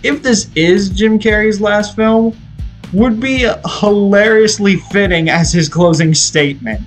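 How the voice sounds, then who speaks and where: Higher-pitched man narrating over prominent music. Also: a little slow. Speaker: video essayist reviewing a film. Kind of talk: scripted review narration. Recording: music playing behind the voice. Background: guitar rock music.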